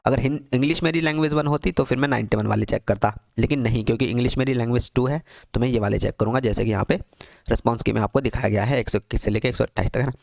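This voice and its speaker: A man's voice: medium pitch, very fast, creaky, darkish tone, no room sound. A man tutoring for a teaching exam, walking through an answer key on screen, asking himself questions and answering them with constant repetition.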